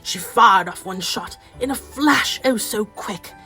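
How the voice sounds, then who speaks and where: A woman playing a storybook narrator, reciting rhyming verse in a measured, dramatic way for a radio play. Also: medium pitch, sharp tone, faint music behind.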